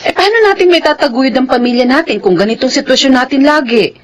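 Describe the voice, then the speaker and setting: A woman's voice: high voice, lively intonation, quick pace. An actress playing a worried laundrywoman and mother in a radio drama, pleading and exasperated about money. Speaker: wife.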